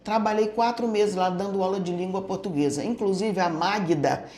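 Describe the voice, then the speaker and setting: An older woman recalls her teaching days at a steady, mid-range pitch. She tells her stories in a loose, conversational way, often checking in with a quick "né?". Her voice carries the echo of a bare room. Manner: storytelling, tags statements with "né?". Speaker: retired school director and teacher. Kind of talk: reminiscing interview answers. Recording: echoey room.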